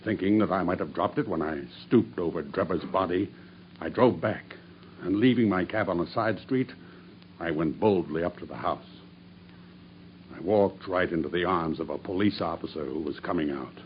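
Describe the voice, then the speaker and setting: An actor playing a grim, vengeful murderer making a deliberate, matter-of-fact confession in a radio drama. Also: male voice, sits low, natural voice. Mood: grim recounting. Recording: dull radio drama recording with background noise.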